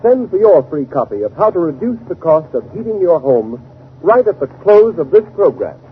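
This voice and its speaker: A man's voice: a little high, big pitch swings, moderate pace. A man working as a 1930s radio announcer, reading scripted sponsor copy with an emphatic salesman's delivery. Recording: noisy, narrow-band 1930s radio broadcast.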